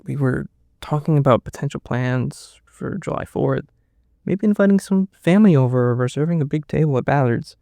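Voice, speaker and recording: A man's voice: mid-range voice, normal speed, gravelly, warm tone, dry recording, natural voice. A man playing a confused, shaken survivor under questioning in a naturalistic audio drama, hesitant and stammering as he speaks.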